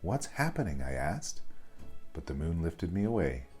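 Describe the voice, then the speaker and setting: A man narrating a children's bedtime story as a reader, with expressive, dramatic storytelling. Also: extremely low pitch, measured pace, faint music behind.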